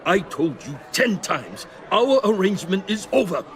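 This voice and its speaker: A brash arms-dealing gambler in a video game. His voice is high for a man and swings widely, with a forceful, short-tempered edge. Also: sing-song, a little slow, heavily acted. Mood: irate.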